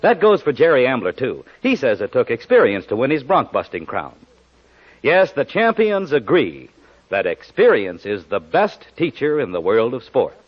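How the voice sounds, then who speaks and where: A man announces a commercial in 1940s radio style. His delivery is extremely animated, emphatic and salesmanlike. The recording sounds muffled and old. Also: mid-range voice, moderate pace, slightly rough. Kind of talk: 1940s radio advertising pitch.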